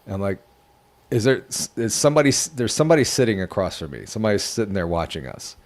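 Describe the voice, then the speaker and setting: A man's voice: fairly low pitch, lively intonation. Earnest man telling an emotional story. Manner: earnest, emotional, halting retelling. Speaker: podcast co-host. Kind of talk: casual storytelling on a podcast.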